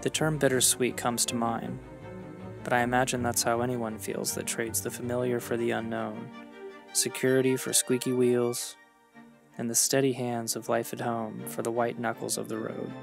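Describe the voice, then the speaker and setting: A man reading a reflective scripted travel voice-over in an earnest, measured way. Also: mid-range voice, flat delivery, creaky, over music. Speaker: vlogger narrating own travel story.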